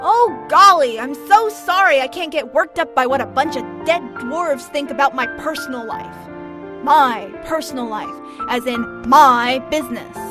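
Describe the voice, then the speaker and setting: A woman's voice: sits high, normal speed, lightly acted. A woman voicing a flirty, free-spirited and defiant female dwarf in a cartoon fandub. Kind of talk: animated comic fandub acting. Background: prominent music.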